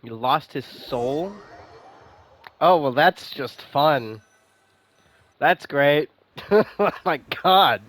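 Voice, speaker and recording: A man's voice: on the high side, lively intonation, drawn-out words, pauses often, fairly bright tone. A man giving casual, wry and amused commentary as he plays a video game. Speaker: streamer playing a game.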